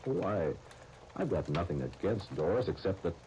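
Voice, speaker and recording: A resigned young fellow in a 1930s radio soap. He talks offhandedly and low-key in a mellow voice. A faintly crackly old broadcast recording surrounds him. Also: big pitch swings, normal speed. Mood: dismissive, hurried.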